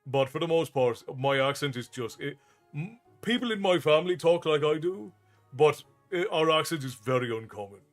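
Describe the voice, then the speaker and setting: Relaxed chatty streamer guy, irish accent with american mixed in, soft music behind. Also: fairly high pitch, moderate pace. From Ireland. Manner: relaxed, chatty, thanks viewers often. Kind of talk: casual chat with viewers.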